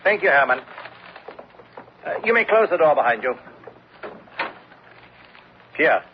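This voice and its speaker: An actor playing a gentle, thoughtful German lieutenant in a radio drama, reasoning earnestly. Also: male voice, on the high side, big pitch swings, unhurried, slight background noise. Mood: troubled. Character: conscience-troubled German army lieutenant, gentle, thoughtful, male. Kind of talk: old-time radio drama acting. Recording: dull lo-fi radio broadcast recording.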